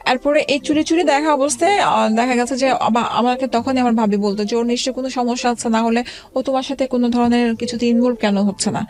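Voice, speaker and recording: A woman in her forties telling her life story as an interview guest, halting and hesitant, with many fillers and restarts. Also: a little high, fairly even intonation, darkish tone.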